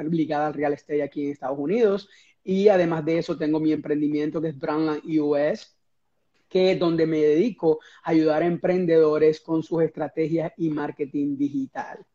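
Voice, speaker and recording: A man's voice: fairly high pitch, dark tone, highs cut off. A Venezuelan man in his thirties, a guest marketing director, speaking in an upbeat but hesitant way, with many fillers, over a video call. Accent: Venezuelan Spanish.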